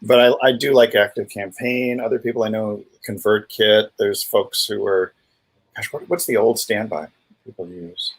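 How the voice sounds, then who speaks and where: Husky-voiced American man chatting casually. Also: on the low side, steady tone, normal speed, rough recording. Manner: wry, jokey, fond of wordplay. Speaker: livestream co-host. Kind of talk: casual chat.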